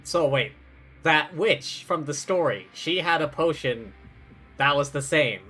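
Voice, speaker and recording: A streamer reacts casually to a game as it plays. The speech is slow and halting, in musing asides at a medium pitch. Game music sits behind the voice. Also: expressive, bright, forceful tone.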